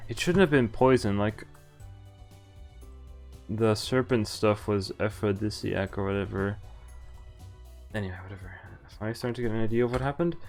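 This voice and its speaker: A man's voice: fairly low pitch, normal speed, long pauses, darkish tone. A man streaming a visual novel, reading the game text aloud with casual, profane, laughing commentary. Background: faint game music.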